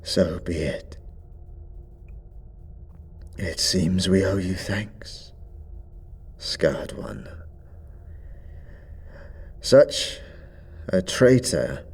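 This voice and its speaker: A man's voice: low voice, very animated, extremely slow, pauses often, darkish tone, a little hiss or hum, pitched below the performer's own voice, exaggerated voice. A man voicing a stern, righteous undead priest who speaks with solemn, imperious, archaic formality in a fantasy audio drama. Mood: grave, weary.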